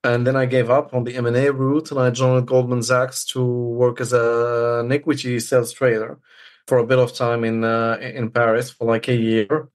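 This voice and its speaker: A man's voice: medium pitch, little pitch movement, moderate pace. Man from Morocco explaining in casual interview. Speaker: podcast guest, trader.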